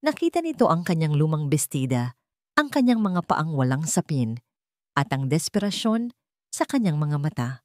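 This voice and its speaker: A woman narrator tells a romance drama with a very animated delivery. Her voice sits a little low for a woman, and the recording is dry and close. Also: normal speed, no echo. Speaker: story narrator.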